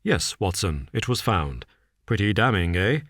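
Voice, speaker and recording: A man playing a cool, deliberate detective. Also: very animated, a bit bright, dry recording, clean studio sound, exaggerated voice. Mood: measured. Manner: slow, deliberate, low and understated. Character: cool, shrewd male detective, measured and dry.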